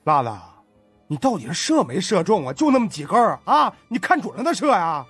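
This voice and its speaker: A man voicing a chubby, cheeky, grumbling man who banters colloquially in an audiobook, with a husky voice. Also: sits high, very animated, fairly quick, music in the background, pitched above the performer's own voice. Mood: cheeky, impatient. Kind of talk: audiobook character voice.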